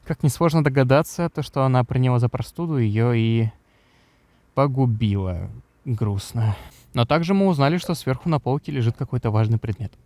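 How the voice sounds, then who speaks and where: A man's voice: mid-range voice, lively intonation, moderate pace, a little mellow, no room sound. A man reading scripted narration for a game walkthrough video.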